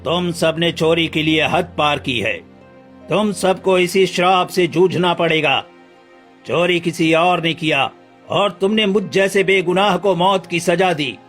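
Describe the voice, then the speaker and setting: A man's voice: fairly high pitch, a little slow, fairly bright tone, soft background music. A man playing the solemn, commanding spirit of a wronged holy sage in a Hindi cartoon moral story. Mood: stern, accusing.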